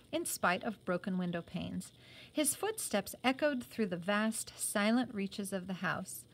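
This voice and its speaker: A woman reading a novel aloud as a teacher would, with short explanatory asides. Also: medium pitch.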